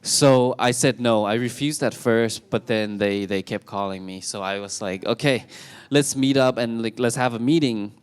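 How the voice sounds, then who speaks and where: A young man from Thailand telling his story on stage in a relaxed way, with many fillers and restarts. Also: medium pitch, normal speed, few pauses, smooth. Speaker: talk show speaker. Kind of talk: casual storytelling to an audience.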